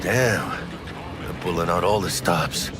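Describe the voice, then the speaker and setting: A man's voice: low-pitched, expressive, takes it slowly. A male actor playing a brash, big-hearted street mercenary with a Mexican-American accent, slangy and mixing in Spanish, in a video game. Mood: subdued. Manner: slangy, peppering speech with Spanish. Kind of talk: cinematic video game acting. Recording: loud music behind the voice.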